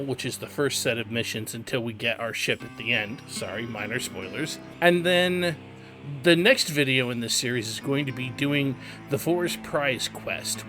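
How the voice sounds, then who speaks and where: A man hosting a video game tutorial in a conversational, explanatory way, stressing key words for emphasis. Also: mid-range voice. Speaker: game guide host. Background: background music.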